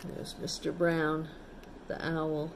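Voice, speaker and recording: A woman teacher reading a picture book to children, pausing to explain things simply and ask the young listeners questions. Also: low-pitched, monotone, noisy background. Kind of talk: storybook read-aloud with chatty asides to children.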